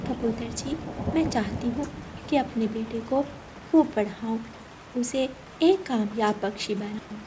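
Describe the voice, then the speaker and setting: A woman voicing a gentle, devoted mother pigeon, speaking softly and earnestly in a Hindi cartoon story. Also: a little high, measured pace, noisy background, natural voice. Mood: tender, determined. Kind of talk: Hindi animated moral story.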